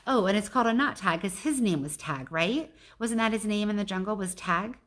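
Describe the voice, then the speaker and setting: A woman's voice: medium pitch, brisk, fairly bright tone. A woman reading a children's storybook aloud like a teacher, with casual asides to her listeners.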